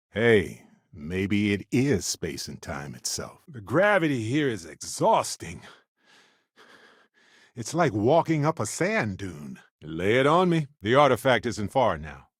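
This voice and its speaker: A wry spacefaring companion from a video game, with a fairly low voice that moves around a lot in pitch. He sounds adventurous and warm-hearted, talking conversationally in a close, dry recording. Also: sing-song, unhurried, slightly rough, no echo, heavily acted. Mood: musing.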